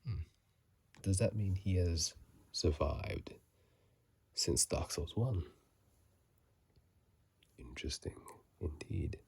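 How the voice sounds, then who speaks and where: Very low, breathy man murmuring ASMR. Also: expressive. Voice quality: breathy, husky half-whisper. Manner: soft, soothing, unhurried murmur with repeated phrases. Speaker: host of a gaming ASMR video. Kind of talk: whispered ASMR game commentary. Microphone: phone microphone, close up.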